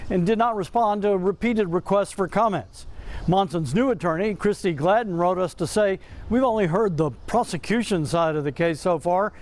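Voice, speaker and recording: Higher-pitched American man reporting the news. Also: moderate pace. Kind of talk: scripted news narration.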